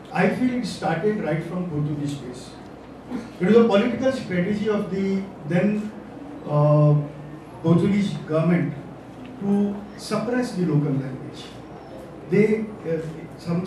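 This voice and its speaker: A man speaking on a discussion panel, thoughtful and halting with many fillers. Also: on the high side, warm tone. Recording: echoey distant hall recording with noise.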